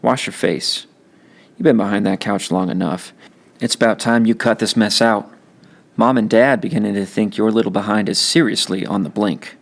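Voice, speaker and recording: A man's voice: on the low side, moderate pace, gravelly. A man voicing a cocky, streetwise teenage older brother with blunt, slangy talk in a novel reading. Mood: firm, encouraging.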